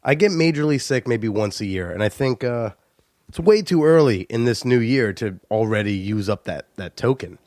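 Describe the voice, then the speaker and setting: Stuffy-nosed New podcast host. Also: male voice, medium pitch, expressive, normal speed, a little mellow, dry recording, clean studio sound. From United States. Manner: joking and chatty, with frequent filler "uh". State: stuffy nose. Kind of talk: casual solo podcast intro.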